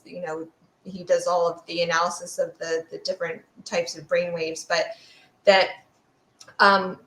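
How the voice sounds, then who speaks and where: Woman expert on an interview, kinda low voice, thoughtful n academic, wanders a bit, roomy echo. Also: fairly bright tone. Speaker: guest expert, fear sociologist. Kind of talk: explanatory interview talk. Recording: roomy, echoey sound.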